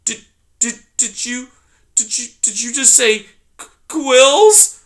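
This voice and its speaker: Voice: high voice, very animated, slow pace, bright, forceful tone, voice pushed up, heavily acted. A reader voicing a timid young female deer mouse who stammers and pleads, in a storybook reading. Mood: frightened.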